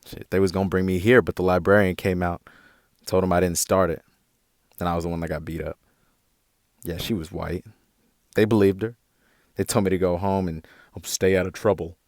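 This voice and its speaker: A man's voice: deep voice, fairly even intonation, a little fast, long pauses, dry recording, clean studio sound. A man playing a hurt, defiant younger brother in a naturalistic audio drama, with an American accent and brooding, slangy speech. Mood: brooding, wounded.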